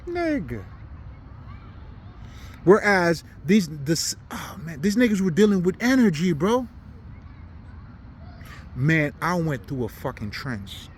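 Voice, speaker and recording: A man's voice: a little high, sing-song, a little slow, a little hiss or hum. A man from Haiti, not a native English speaker, giving an unscripted, preaching monologue in blunt, profane slang with emphatic repetition. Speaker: spiritual commentator.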